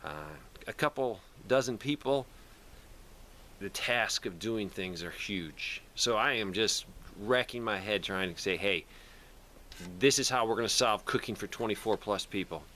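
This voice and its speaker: A man's voice: lowish voice, expressive, measured pace, bright, forceful tone, a little hiss or hum. A man talking casually to camera in a plainspoken, rambling way, like a video host showing his gear. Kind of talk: casual product talk to camera.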